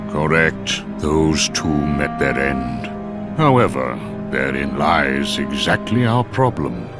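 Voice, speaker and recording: A man's voice: extremely low pitch, very animated, slow pace, heavily acted. A man playing a grave, wise old sorcerer in a video game, speaking in a solemn, formal manner. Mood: grave, foreboding. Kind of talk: video game cutscene acting. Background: background music.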